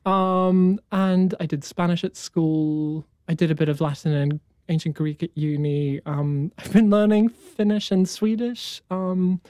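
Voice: medium pitch, steady tone, dark tone, no echo, studio quality. A young music student from New Zealand whose first language is Afrikaans, answering interview questions with enthusiasm and plenty of fillers. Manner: enthusiastic, halting, many fillers. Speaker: conducting student, guest.

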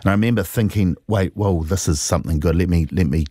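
A middle-aged man with a New Zealand accent, a musician telling stories in an interview, unhurried and reflective with many pauses. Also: low voice, dark tone, no room sound, studio quality. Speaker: musician guest. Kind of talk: relaxed storytelling interview.

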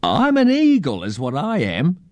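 Man putting on a quaint old bird-man, high-pitched. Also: wildly swooping pitch, unhurried, no room sound, lo-fi sound. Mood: friendly, odd. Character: eccentric, hungry old bird-man, friendly eagle from space.

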